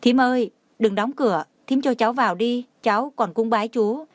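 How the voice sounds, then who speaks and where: A woman's voice: on the high side, normal speed, no room sound, lightly acted. A woman voicing a humble, remorseful young woman in an audiobook, pleading tearfully for forgiveness.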